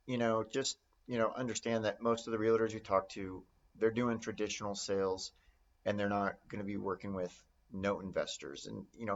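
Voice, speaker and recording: Low-voiced man teaching, muffled audio. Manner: conversational, role-plays example phone calls. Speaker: real estate note investing instructor. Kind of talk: informal instructional talk.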